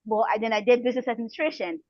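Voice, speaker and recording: Woman, middle-aged motivational guest speaker, encouraging, repeats key phrases, higher register, close and dry lo-fi audio. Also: rapid-fire, no room sound. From Republic of the Congo. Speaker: guest speaker, coach and counselor. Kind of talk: motivational advice in an interview.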